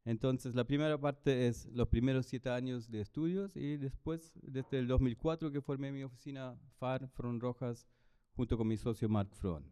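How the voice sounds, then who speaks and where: A man's voice: medium pitch, fairly even intonation, normal speed, dark tone, clear, resonant tone, big-room sound. A man in his fifties from Germany, speaking with a German accent, giving a hesitant lecture full of fillers and restarts. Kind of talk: lecture presentation. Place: lecture hall.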